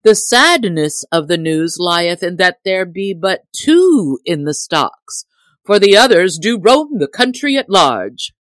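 A woman's voice: fairly low pitch, big pitch swings, slow pace, no echo, voice pushed up. A woman voicing a jolly, teasing young male outlaw in hearty, archaic storybook speech.

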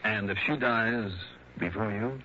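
Suave insurance investigator, lowish voice, measured wry probing delivery, old radio detective drama, muffled noisy recording. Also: male voice, bright, forceful tone. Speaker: lead investigator and narrator. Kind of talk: 1960s radio detective acting.

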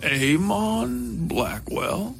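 A man's voice: sits high, big pitch swings, very slow, creaky, a bit bright, a little hiss or hum, pitched above the performer's own voice, full character voice. A man voicing a gaunt, eerie servant of a lord in a horror story, formal and detached, tumbling through his syllables.

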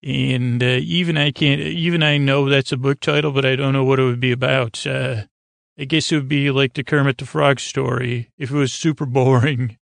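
A man speaks in a creaky, fry-heavy voice at a middling pitch, recorded very dry. He hosts a bedtime show in soothing, drawn-out tones. His delivery halts, circles back and drifts into deliberately dull, goofy tangents. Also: steady tone, no echo. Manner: hesitant, halting, circling back and trailing off. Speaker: podcast host. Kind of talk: rambling, meandering bedtime monologue to help listeners sleep.

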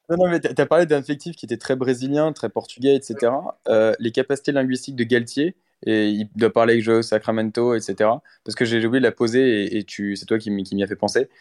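A man's voice: mid-range voice, brisk, a little mellow. A man co-hosting a podcast, asking questions in a joking, hesitant way. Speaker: podcast co-host. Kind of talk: casual chat.

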